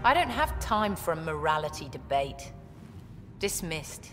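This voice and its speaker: An actress playing a hard, commanding outlaw ruler with a curt manner in a video game. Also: female voice, low-pitched, big pitch swings, a little slow, in a large hall, music in the background. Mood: imperious, threatening. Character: hard, imperious female outlaw port boss. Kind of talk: video game character dialogue.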